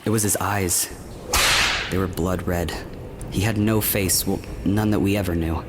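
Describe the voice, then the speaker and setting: A man's voice: deep voice, fairly even intonation, measured pace, very noisy. A man playing a haunted adventurer in a fantasy radio play, recounting memories in a subdued, reflective way. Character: haunted young male adventurer recalling enslavement.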